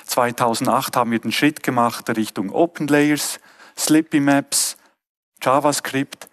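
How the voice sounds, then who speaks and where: A man's voice: mid-range voice, a little slow. Swiss German man giving a keynote. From Switzerland. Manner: earnest, with frequent hesitations and restarts. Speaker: keynote speaker. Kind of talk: conference keynote talk. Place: stage of a conference hall.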